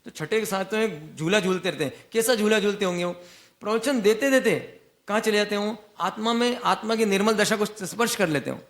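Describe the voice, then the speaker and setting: Brief: high-pitched male monk preaching, asks the audience questions and answers them himself. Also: brisk, a bit bright. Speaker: Jain monk giving a discourse. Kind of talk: sermon.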